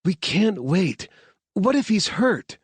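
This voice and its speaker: A man's voice: high voice, big pitch swings, slow pace, slightly rough, no room sound, voice pushed up, exaggerated voice. Man putting on a fierce injured woman scientist. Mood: frantic. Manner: urgent, raised voice.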